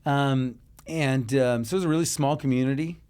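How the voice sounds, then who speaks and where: Earnest man from Israel, halting speech. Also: medium pitch, darkish tone, smooth. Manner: earnest, halting with many restarts and fillers. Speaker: interview guest. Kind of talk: conversational interview.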